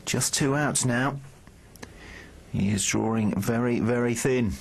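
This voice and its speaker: A man commentating on a poker final in a measured, wry way. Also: mid-range voice, gravelly. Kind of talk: live commentary.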